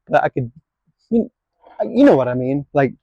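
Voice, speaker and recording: A man's voice: on the high side, sing-song, moderate pace, warm tone, no room sound, highs cut off. A man in his late twenties filming a casual skateboarding vlog, rambling and self-deprecating, swearing now and then. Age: 20s. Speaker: skateboarding vlogger.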